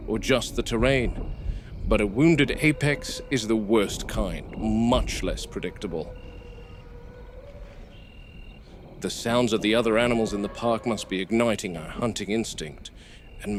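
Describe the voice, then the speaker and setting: An actor playing a wary, weary male game warden at a dinosaur park, narrating a horror audio log in a measured, grave way. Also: medium pitch, lightly acted. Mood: wary, tense. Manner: measured, grave, deliberate field commentary. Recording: noisy VHS-style field recording with outdoor ambience.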